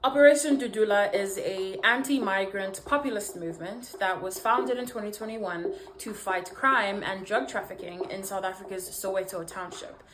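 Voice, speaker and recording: A woman's voice: medium pitch, normal speed, few pauses, noisy background. Woman reading scripted news narration.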